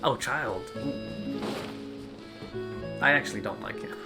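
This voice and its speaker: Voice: medium pitch, takes it slowly. A game streamer giving casual, slangy and wry commentary during a playthrough. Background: loud game music.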